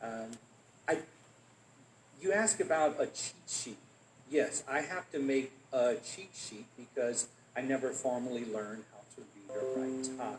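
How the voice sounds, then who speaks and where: An adult man as guest speaker, answering questions and telling relaxed anecdotes in a conversational Q&A. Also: medium pitch, unhurried, music in the background. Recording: echoey room, faint noise.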